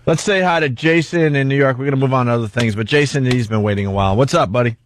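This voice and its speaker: A man's voice: medium pitch, fast talker, slight background noise. A man hosting a radio talk show, chatting casually with informal, irreverent comedic banter into a close studio mic.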